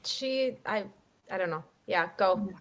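A woman on a discussion panel making measured, thoughtful points. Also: mid-range voice. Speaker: panel guest.

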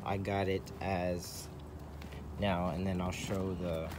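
Slow, rambling man with a low voice. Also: level tone. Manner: rambling, hesitant, repeats phrases. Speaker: vlogger showing a CB radio setup in a truck. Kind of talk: casual hands-on walkthrough. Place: in and around a parked truck. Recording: noisy handheld recording with vehicle ambience.

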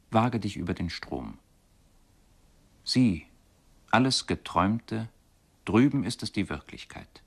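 Radio drama: solemn, dreamy poetic speaker, very unhurried, low-pitched, deliberate recitation. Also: male voice. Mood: solemn, beckoning. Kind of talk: poetic recitation, 1970s German radio play.

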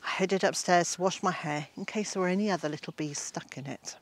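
A woman in her sixties, a gardening author and homestead host, reading a story from her own book aloud outdoors in a shady garden, with lively, animated emphasis. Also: on the low side, brisk. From Britain. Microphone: camera-facing, mid-shot.

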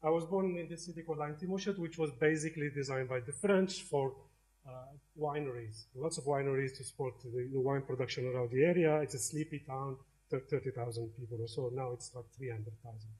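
A man's voice: medium pitch, steady tone, a little fast, a little mellow. A middle-aged man from Algeria giving an academic lecture in a lecture hall, talking conversationally with frequent hesitations and restarts.

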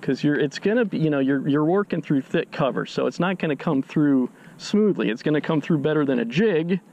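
A man's voice: on the high side, lively intonation, brisk, a little mellow, dull audio, a little hiss or hum. A man on a fishing boat outdoors, casually explaining his technique step by step, often restarting his sentences. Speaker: fishing channel host. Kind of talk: instructional fishing commentary.

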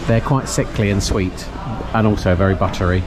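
A man's voice: on the low side, brisk. A middle-aged British man narrating a casual food and shopping vlog in a chatty, dry, self-mocking way, filmed on a handheld camera. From United Kingdom. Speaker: vlogger. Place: restaurant, car and store. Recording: music bed under the voice.

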